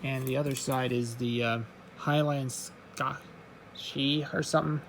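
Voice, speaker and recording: A man casually showing his record collection, reading out titles in a halting, offhand way. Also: mid-range voice, steady tone, slight background noise. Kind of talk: casual show-and-tell vlog.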